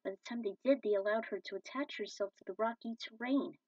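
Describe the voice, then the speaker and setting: A woman's voice: a little high, fast talker, a little mellow, husky, no room sound. A woman narrating a scripted story as a fan fiction reader. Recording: dull, distant microphone.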